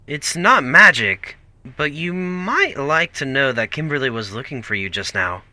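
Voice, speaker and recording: A man's voice: medium pitch, big pitch swings, a little slow, sharp tone, no echo, heavily acted. A man playing a friendly, concerned teenage boy with a casual, sympathetic manner in a comic dub.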